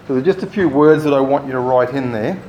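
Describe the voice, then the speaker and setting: A man running a training workshop, giving relaxed, rambling instructions to the group. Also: mid-range voice, quick pace, muffled recording. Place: meeting room. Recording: echoey room, faint noise.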